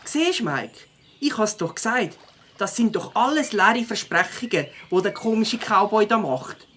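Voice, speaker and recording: A woman's voice: medium pitch, expressive, a little slow, a bit bright, breathy edge. A woman voicing a young, outspoken, skeptical boyish beaver biker in a children's audio drama.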